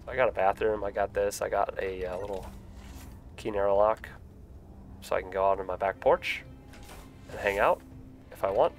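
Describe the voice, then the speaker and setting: A man's voice: on the low side, normal speed, pauses often, soft background music. A young man with a stuffy voice from a cold, chatting casually with lots of fillers while live-streaming a building game. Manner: relaxed, rambling, frequent fillers.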